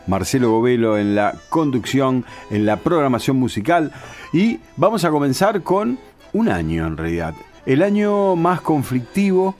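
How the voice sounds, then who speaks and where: A man's voice: medium pitch, a little mellow. Argentine guy hosting a music radio show, explains stuff, searches for words a bit, faint music under him. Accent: Argentine Spanish. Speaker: radio host and music programmer. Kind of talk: music programme commentary.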